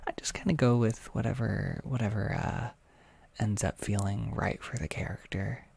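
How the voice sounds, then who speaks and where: A young adult with an American accent chats casually on a livestream, reading and answering comments in a soft-spoken, hesitant, rambling way. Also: mid-range voice, fairly even intonation, moderate pace, gravelly, breathy edge. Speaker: ASMR audio creator livestreaming.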